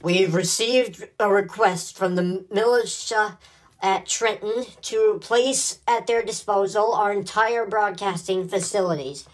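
A man's voice: sits high, a little slow, poor audio. A man playing a solemn broadcasting executive reading an official statement in a radio play, very formal. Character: solemn male radio company vice president making statement.

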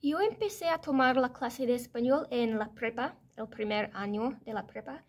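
A woman's voice: fairly high pitch, moderate pace. American woman chatting to camera. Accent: American English. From United States. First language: English. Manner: chatty, self-correcting, animated hand gestures. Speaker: vlogger. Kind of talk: casual vlog to camera. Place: office or classroom, indoors, medium room.

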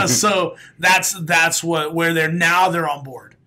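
A man in his late thirties, a comedian guest with a raspy voice, telling stories on a podcast in a small studio with a close mic. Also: a little high, takes it slowly. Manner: animated storyteller, laughs often, bursts into loud announcer calls. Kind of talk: casual podcast interview. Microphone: studio mic, headphones.

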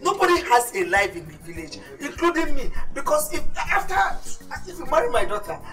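A boastful Nigerian village mother in a comic film. Her high voice is animated and exclamatory, picked up a little off the mic with faint music. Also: fairly quick, recorded from a distance, poor audio. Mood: boastful, insistent. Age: middle-aged.

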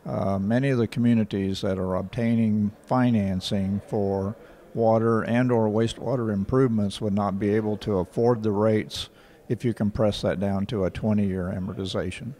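An older man, answering interview questions in a measured, factual way with frequent pauses. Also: lowish voice, steady tone, warm tone. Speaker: rural water association director. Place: indoor office in front of a painting. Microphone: handheld station mic.

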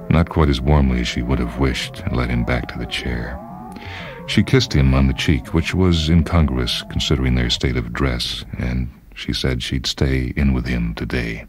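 Very deep-voiced male story narrator. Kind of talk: dramatic literary story narration. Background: music.

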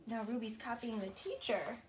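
A woman in her thirties reading a storybook aloud to a child in an expressive, teacherly way. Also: a little high, moderate pace, slight background noise. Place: small bedroom, on a bed. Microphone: camera a few feet away.

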